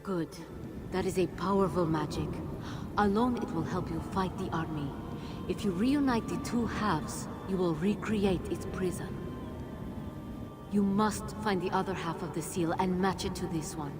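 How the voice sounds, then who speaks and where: A woman's voice: lowish voice, unhurried, close to an everyday voice. An actress playing an ancient, regal jinn queen in a video game, speaking in a stately, solemn way. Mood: commanding, urgent. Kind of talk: video game cutscene acting. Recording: prominent game music behind the voice. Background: cinematic music.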